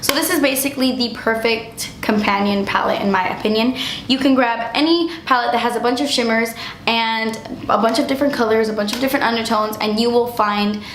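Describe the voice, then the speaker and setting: Young female vlogger, slightly high voice, effusive and chatty, voice worn from illness; roomy echo. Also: steady tone, brisk, fairly bright tone, slight background noise. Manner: gushing, chatty, lots of "like". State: losing her voice, getting sick. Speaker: beauty vlogger. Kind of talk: casual product review.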